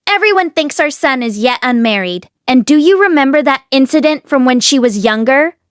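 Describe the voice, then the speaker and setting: A woman's voice: high voice, lively intonation, moderate pace, no room sound, studio quality. A woman reading an audiobook, voicing a domineering, scheming middle-aged wife with an insistent, calculating manner.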